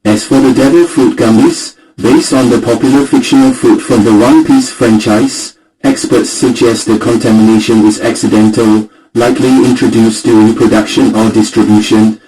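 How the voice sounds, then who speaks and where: A man's voice: mid-range voice, steady tone, normal speed, hardly any pauses, warm tone, faint music behind, lightly acted, poor audio. A man playing a formal, serious correspondent delivering a scripted radio news report.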